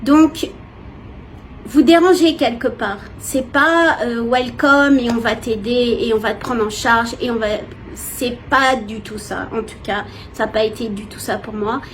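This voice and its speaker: Woman, high voice, casual personal testimony, expressive, hesitant, background noise. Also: a little slow. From France. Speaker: vlogger. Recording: clear background noise, ambient sound.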